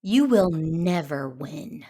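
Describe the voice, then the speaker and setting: A stern, righteous Jedi warrior in a space-fantasy audio drama. The voice is low and very measured, with solemn, formal wording. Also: female voice, creaky, heavily acted. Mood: defiant. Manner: solemn, formal, deliberate diction.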